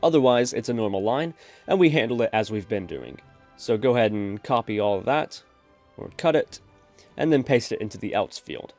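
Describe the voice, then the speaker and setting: A man calmly explaining code step by step as the host of a screen-recorded programming tutorial. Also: on the low side, normal speed, faint music behind. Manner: steady explanatory, addresses viewers as folks.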